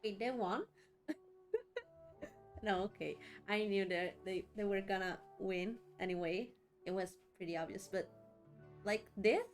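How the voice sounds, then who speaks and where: A woman's voice: medium pitch, a little slow, pauses often, soft background music. A young woman streaming a game in casual chat, drowsy and giggly, often pleading. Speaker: streamer playing a video game. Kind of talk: casual let's play chat.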